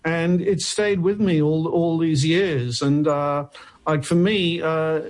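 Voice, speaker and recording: A man's voice: on the high side, steady tone, takes it slowly, a little mellow. A man from Wales, a radio guest talking earnestly with many restarts. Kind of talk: radio interview.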